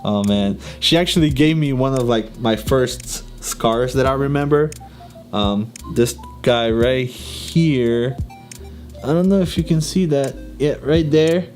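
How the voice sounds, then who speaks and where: A man's voice: mid-range voice, slow, darkish tone, faint music behind. A young man from Brazil with a Brazilian Portuguese accent, talking casually to camera, laughing often and filling gaps with ums. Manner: chatty, laughs often, many fillers and restarts. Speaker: YouTuber.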